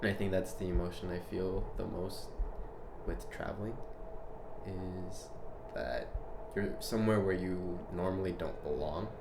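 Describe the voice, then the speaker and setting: Young man from South Korea, very deep voice, thoughtful student narrator, echoey room. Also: measured pace. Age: young adult. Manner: thoughtful, searching for words with fillers. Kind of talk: reflective personal narration. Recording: roomy sound with loud traffic noise.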